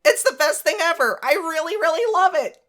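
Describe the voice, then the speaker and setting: A woman's voice: very high voice, lively intonation, a little fast, fairly bright tone, pitched above the performer's own voice. A woman voicing a timid, nervous, geeky reptile scientist with a hesitant, earnest manner in a visual novel game. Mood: gushing, earnest. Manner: hesitant, stammering, gushes when passionate. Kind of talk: amateur visual novel voice acting.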